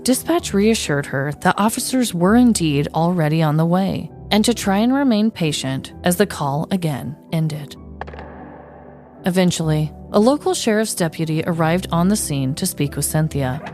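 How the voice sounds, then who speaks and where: A woman speaks as a podcast co-host and storyteller. Her pitch sits a little low for a woman, and soft music can be heard behind her. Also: expressive, moderate pace. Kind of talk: scripted storytelling narration, then casual chat.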